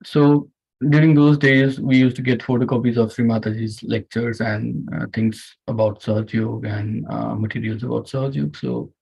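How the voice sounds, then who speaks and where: A man from Nepal in his thirties or forties with a Nepali accent, speaking informally and haltingly as host of an online meditation session. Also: mid-range voice, little pitch movement, vocal fry, a little mellow, slightly rough. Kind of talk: informal personal talk to online group. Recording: muffled, dull lo-fi online audio.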